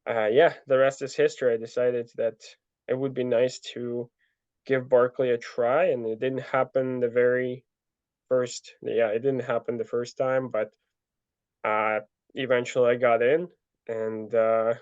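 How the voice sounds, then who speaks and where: A young man telling his story in a relaxed interview, full of fillers and restarts. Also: mid-range voice, unhurried, no room sound. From Ukraine. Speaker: guest, ultra trail runner. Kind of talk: casual interview answers. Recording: dull lo-fi dry sound.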